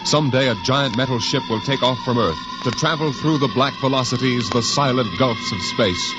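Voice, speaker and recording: A man's voice: medium pitch, moderate pace, close to an everyday voice. A man playing a solemn, portentous narrator in 1950s radio science-fiction drama. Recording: dull old radio recording, loud music.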